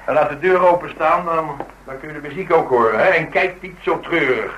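An actor playing a calm, evasive yet affectionate man in a Dutch radio drama, speaking in a casual, understated way. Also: on the high side, brisk, slight background noise, lightly acted, low-quality recording. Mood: tender, coaxing.

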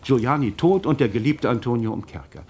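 A man's voice: medium pitch, animated, a little fast, mellow, lightly acted. A man acting in a German radio play as a shrewd magistrate, theatrical and rhetorical, relentlessly and mockingly cornering a suspect. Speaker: actor in a radio drama.

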